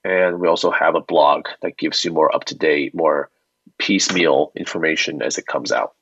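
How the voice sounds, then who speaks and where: A man's voice: a bass voice, moderate pace, a bit bright, a little hiss or hum. A man answering interview questions as a guest in an informal, reassuring, conversational manner over a remote call. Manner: informal, reassuring, often checks with "right?". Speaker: company founder and CEO, interview guest. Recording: dull, dry remote call audio.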